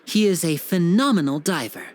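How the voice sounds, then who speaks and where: A woman's voice: lowish voice, animated, normal speed, darkish tone, a little hiss or hum, close to an everyday voice. A woman voicing a poised young magician in a video game, a composed and affectionate older sibling with polite phrasing.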